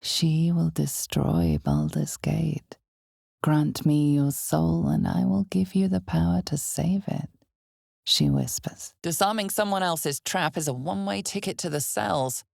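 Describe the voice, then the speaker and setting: A woman voicing an ominous, knowing narrator of a dark fantasy game, speaking in a measured, dramatic, often hushed way. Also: low-pitched, animated, gravelly, no echo, studio quality, heavily acted. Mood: knowing, grave.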